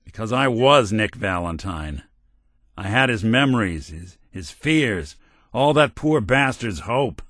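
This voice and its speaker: A man's voice: on the low side, very animated, a little slow, fairly bright tone, no echo, clean studio sound, exaggerated voice. An actor voicing a world-weary male synth detective in a video game, a robot carrying an old cop's memories, talking in a hard-boiled drawl. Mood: heartfelt. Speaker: companion character.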